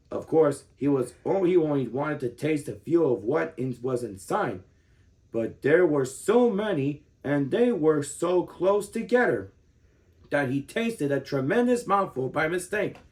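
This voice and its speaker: A man of thirty reading a children's book aloud as an expressive storyteller. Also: fairly high pitch.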